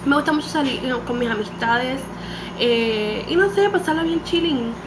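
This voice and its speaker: A woman's voice: sits high, lively intonation, moderate pace. A nineteen-year-old woman from Puerto Rico with a Puerto Rican accent, chatting animatedly to a webcam and dropping English words into her talk. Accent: Puerto Rican Spanish. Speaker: beauty vlogger. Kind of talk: casual chat to camera. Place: small room indoors. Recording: home webcam recording with background noise.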